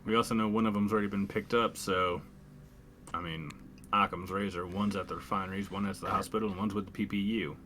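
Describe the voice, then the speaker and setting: Low-voiced man, terse and dry. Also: fairly even intonation, fast, pushed and bright, slight background noise. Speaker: tabletop roleplaying player. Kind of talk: in-character game dialogue and action description.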